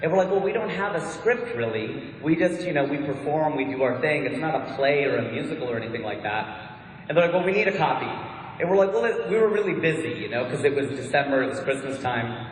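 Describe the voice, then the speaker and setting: A man's voice: fairly high pitch, lively intonation, quick pace, a little hiss or hum. A man from Ohio with a Midwestern American accent doing a chatty, self-mocking stand-up comedy set. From Ohio, USA. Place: large hall with audience. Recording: echoey hall.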